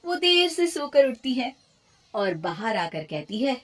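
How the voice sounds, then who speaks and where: A woman's voice: on the high side, sing-song, measured pace. A woman narrating a scripted moral tale as a storyteller.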